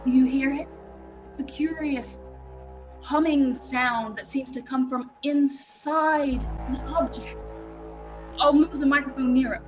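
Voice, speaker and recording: High-voiced, excitable radio reporter acted by a woman. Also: highs cut off, music in the background. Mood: excited, curious. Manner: breathless dramatic commentary with suspenseful pauses. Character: excitable radio reporter, awed and alarmed eyewitness at the scene. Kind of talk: 1930s radio drama live reading.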